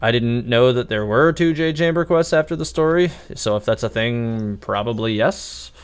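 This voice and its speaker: A male game streamer with a middling pitch talks casually to his live audience. His delivery is chatty and rambling. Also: normal speed, smooth.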